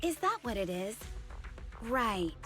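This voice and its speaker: Composed high-voiced investigator. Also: female voice, sing-song, takes it slowly, fairly bright tone, soft background music, exaggerated voice. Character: composed, businesslike female investigator in an anime-style game. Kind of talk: video game voice acting.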